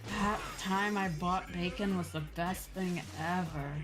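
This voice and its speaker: A woman's voice: on the low side, steady tone, unhurried, music in the background. A woman chatting casually on a game livestream and reading game dialogue aloud. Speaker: streamer playing a video game.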